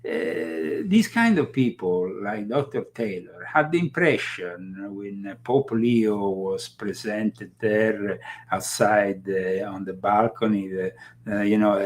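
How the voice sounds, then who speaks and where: Low-voiced man hosting a rambling show. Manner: rambling, restarts with many fillers. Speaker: host. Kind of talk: live commentary show.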